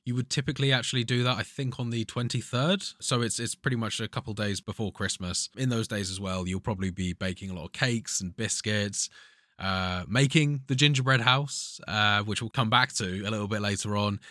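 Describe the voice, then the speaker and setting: A man's voice: fairly low pitch, brisk, pushed and bright, no room sound, studio quality. A man from England co-hosting a podcast, chatting casually and explaining at length with plenty of fillers and easy laughter. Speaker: podcast co-host. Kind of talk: casual chat.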